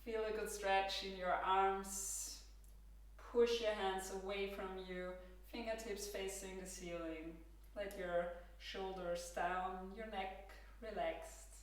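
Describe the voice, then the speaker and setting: Slow, gentle Austrian-accented woman yoga teacher. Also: mid-range voice, recorded from a distance. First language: German. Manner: calm, gentle cueing with soft laughs. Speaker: yoga instructor. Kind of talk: guided yoga class. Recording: roomy echo, from across the room.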